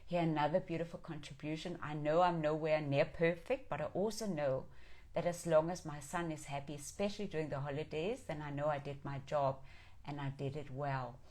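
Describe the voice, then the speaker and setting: A woman's voice: low voice, fairly even intonation, a little fast, darkish tone. A middle-aged woman from Denmark hosting a live stream, speaking in an earnest, affirming and reflective way as she talks with viewers. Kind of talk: reflective inspirational talk, reading and answering viewer comments.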